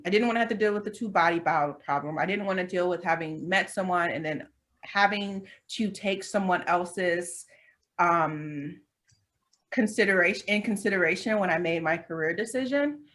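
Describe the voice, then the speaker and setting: A woman's voice: fairly low pitch, a bit bright. A woman in her thirties telling candid stories in a casual chat, with lots of fillers and restarts. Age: 30s. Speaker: podcast co-host.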